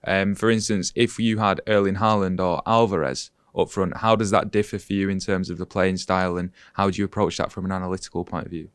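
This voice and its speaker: A man's voice: deep voice, fairly even intonation, brisk, few pauses, clear, resonant tone, dry recording, clean studio sound. A man interviewing a footballer in a chatty, jokey way. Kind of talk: casual sponsored interview.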